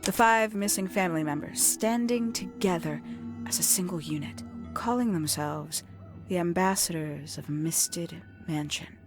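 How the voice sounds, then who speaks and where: A woman voicing a composed, calculating young woman in a video game, speaking in a measured, formal, theatrical way as she confesses. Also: lowish voice, music in the background, close to an everyday voice. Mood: wistful, bitter. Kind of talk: dramatic scripted confession monologue.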